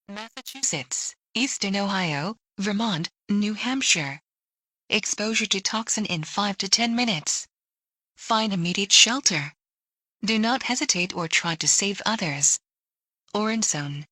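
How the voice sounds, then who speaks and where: A woman's voice: medium pitch, bright, forceful tone, dry recording. A woman announcing a scripted emergency alert, reading lists and instructions in a clipped, impersonal manner.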